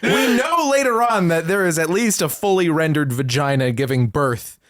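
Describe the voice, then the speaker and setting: Animated sardonic man, dry close recording. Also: a little high, moderate pace, dry recording. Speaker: podcast co-host. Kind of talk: casual comedic media commentary.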